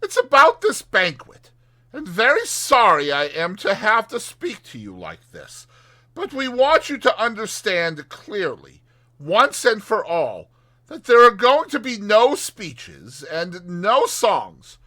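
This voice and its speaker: Man voicing a high, emphatic, scolding storybook water rat. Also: wildly swooping pitch, slow, sharp tone, exaggerated voice. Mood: stern but caring. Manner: emphatic, admonishing. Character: male water rat, firm, earnest, kindly scolding friend. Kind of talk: dramatized audiobook reading.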